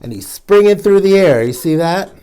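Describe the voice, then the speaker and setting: A man's voice: high voice, animated, moderate pace, warm tone, smooth. A man hosting a children's storytime, reading aloud and chatting to young listeners in asides. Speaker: storytime reader, drag queen host.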